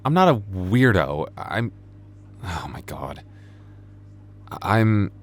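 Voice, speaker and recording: A man playing a low-voiced, flustered young vampire. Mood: panicked pleading. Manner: nervous stammering, awkward sputtering. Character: flustered young male vampire working convenience store night shift. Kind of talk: ASMR roleplay acting. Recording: faint background noise.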